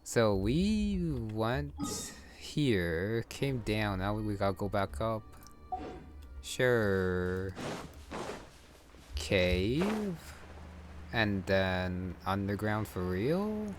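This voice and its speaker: A man's voice: lowish voice, sing-song, very slow, long pauses. A man giving casual gameplay commentary, muttering and thinking aloud as he plays. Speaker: gamer. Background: game music.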